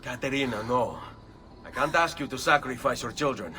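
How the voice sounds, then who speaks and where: A man's voice: medium pitch, normal speed, background noise, natural voice. Earnest game hero, played by a man. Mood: earnest, concerned. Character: earnest, loyal young Italian hero. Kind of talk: video game cutscene acting.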